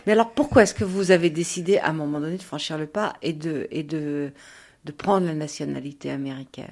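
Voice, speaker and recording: A woman's voice: deep voice, brisk, a little mellow. A woman interviewer on the radio, asking questions and prompting with short, curious interjections.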